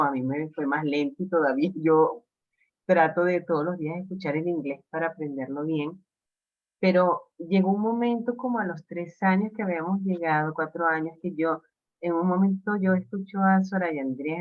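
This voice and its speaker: Venezuelan woman telling a story. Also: lowish voice. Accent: Venezuelan Spanish. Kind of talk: casual conversation, telling a personal story. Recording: slightly dull lo-fi audio.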